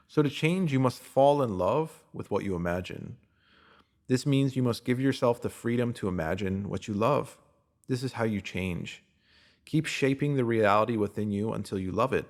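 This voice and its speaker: Low, creaky-voiced man reading self-help. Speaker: narrator. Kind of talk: scripted reading of a self-help spiritual post.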